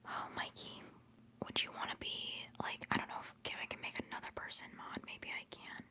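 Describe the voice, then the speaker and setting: A young woman hosting a livestream, whispering softly as she rambles through chat messages and answers viewers fondly, holding back tears. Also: brisk. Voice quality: soft whispering voice. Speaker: livestream host.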